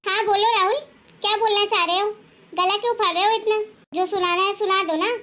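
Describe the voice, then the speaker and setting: Casting: female performer as angry young wife, extremely high voice, sarcastic and quarrelsome, moral-story family drama. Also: steady tone, a little fast, sharp tone, muffled recording. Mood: irritated, sarcastic. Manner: scolding, sarcastic, argumentative. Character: angry, sharp-tongued young wife demanding her share. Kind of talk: acted dialogue in Hindi family story.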